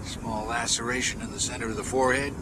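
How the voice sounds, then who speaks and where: Casting: male actor as clinical American coroner for radio drama, brisk, mid-pitched, clear car noise behind. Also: flat delivery, lightly acted. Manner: methodical, matter-of-fact. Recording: outdoor noise with cars behind.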